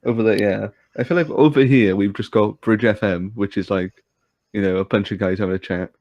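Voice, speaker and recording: A man's voice: fairly low pitch, steady tone, a little fast, dry recording, highs cut off. A man from Wales with a Welsh accent, co-hosting a livestream in casual chat and teasing the others with a joking manner. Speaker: streamer host.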